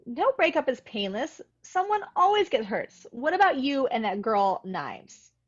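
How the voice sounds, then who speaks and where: Woman as a high-voiced, guarded young woman. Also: sing-song, measured pace, dull audio, heavily acted. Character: guarded, cool young woman, love interest. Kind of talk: amateur screenplay table read.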